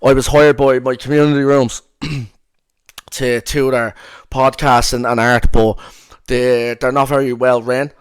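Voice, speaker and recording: A man's voice: medium pitch, level tone, unhurried, smooth. A young man with a strong Dublin accent, hosting a casual podcast chat, rambling and swearing with lots of fillers. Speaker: podcast host.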